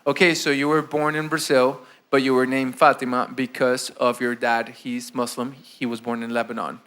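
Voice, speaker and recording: A man from South America hosting a casual interview, excitable and quick to interject. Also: medium pitch, clean tone. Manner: excitable, interjecting, laughs often. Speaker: host.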